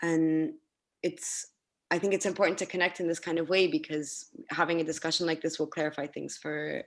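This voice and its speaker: A woman's voice: sits low, little pitch movement, fairly quick. A woman speaking thoughtfully and reflectively as a panelist in an online discussion. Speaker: artist panelist. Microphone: video call.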